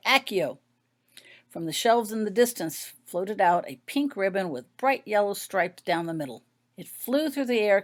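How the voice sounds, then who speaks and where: A woman reading her own story aloud as scripted audiobook narration. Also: mid-range voice.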